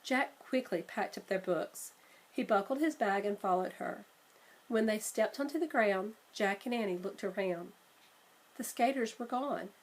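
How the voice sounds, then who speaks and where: A woman reading a children's storybook aloud in an expressive, measured way. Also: medium pitch, low-quality recording. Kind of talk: storybook read-aloud narration.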